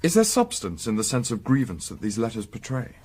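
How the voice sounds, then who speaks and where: Formal, composed gentleman investigator. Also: medium pitch, huge pitch swings, breathy edge. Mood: measured, pointed. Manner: formal, measured, probing. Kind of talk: period radio drama acting.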